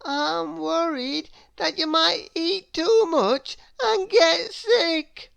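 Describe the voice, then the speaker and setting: A woman's voice: very high voice, gravelly, a bit bright, no room sound, voice pushed up, exaggerated voice. A woman voicing an anxious, fretful little storybook man who worries plaintively about everything. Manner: worried, plaintive, drawn-out delivery.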